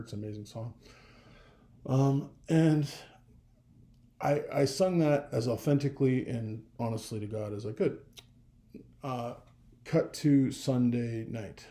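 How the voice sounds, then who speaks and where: A young man telling a personal story in a casual vlog, rambling and animated, with a gravelly voice. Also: mid-range voice. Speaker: vlogger.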